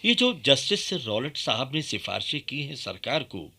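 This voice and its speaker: An actor playing an earnest, indignant Indian patriot under colonial rule, speaking emphatically in a radio drama. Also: male voice, medium pitch, very animated, normal speed, creaky, sharp tone, exaggerated voice. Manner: emphatic, theatrical.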